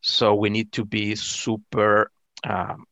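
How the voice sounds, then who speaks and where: A middle-aged man with a low, creaky voice and a Salvadoran Spanish accent. He answers interview questions casually in halting English, circling back over words as he goes. The sound is close and dry. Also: monotone, pushed and bright, no room sound, highs cut off. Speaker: interview guest, amateur astronomer.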